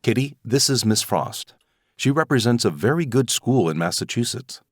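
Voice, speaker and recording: A man's voice: mid-range voice, expressive, normal speed, husky, no room sound, clean studio sound. A man playing an affectionate, courteous suburban father in an audio drama, with a mild manner. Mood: polite, apologetic.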